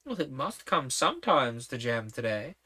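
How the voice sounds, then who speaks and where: A woman's voice: extremely low pitch, big pitch swings, moderate pace, pushed and bright, husky, heavily acted. Polite, puzzled young girl in a storybook, read by a woman. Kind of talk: storybook reading.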